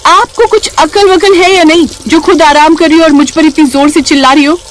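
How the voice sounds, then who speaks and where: Woman acting a shrill, bratty young sister-in-law. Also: expressive, a little fast, a little hiss or hum. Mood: angry, accusing. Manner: whiny, scolding, sarcastic. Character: short, sharp-tongued, bratty young sister-in-law, quick to snap.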